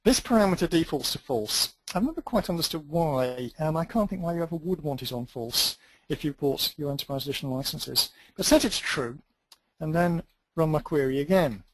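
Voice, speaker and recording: A man's voice: a little high, lively intonation, brisk, poor audio. A man teaching a database tutorial, thinking aloud as he types through a live demo with dry, self-deprecating asides. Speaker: database instructor.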